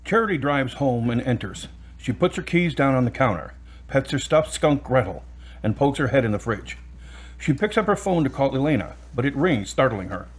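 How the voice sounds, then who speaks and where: A male narrator reading scripted scene directions for an audio drama. His voice sits in the middle of the range and has a husky, rough-edged tone. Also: medium pitch, animated, normal speed.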